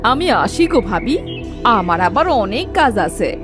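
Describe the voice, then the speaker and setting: A woman's voice: mid-range voice, big pitch swings, measured pace, music in the background. A woman voicing a nosy, mocking village neighbour in a cartoon, taunting and meddling. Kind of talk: Bengali cartoon voice acting.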